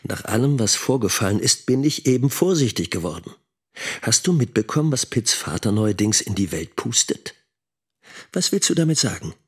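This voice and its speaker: A man's voice: medium pitch, very animated, moderate pace, husky. An actor playing an abandoned middle-aged schoolteacher and anxious father in a radio drama. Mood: worried, probing. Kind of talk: radio drama monologue and dialogue.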